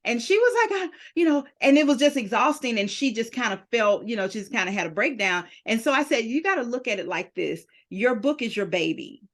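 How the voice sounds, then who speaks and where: A middle-aged woman hosting an interview as a book publisher, an animated storyteller who acts out the dialogue she quotes. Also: a little high, a little fast, few pauses. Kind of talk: podcast interview.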